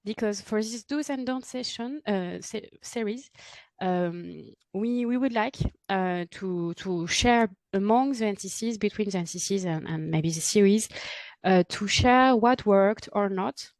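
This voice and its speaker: A woman moderating an online workshop, speaking haltingly with many fillers and self-corrections over a video call. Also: mid-range voice, no room sound. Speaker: webinar moderator. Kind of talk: introducing a workshop session.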